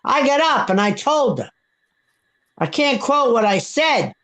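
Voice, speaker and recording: A woman's voice: sits high, big pitch swings, measured pace, a bit bright. Seventy-something woman with a thick Brooklyn accent; outspoken, heated, repetitive guest. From Brooklyn, New York. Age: 70s. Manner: blunt, heated, repeats herself. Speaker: live stream guest. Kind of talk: casual chat.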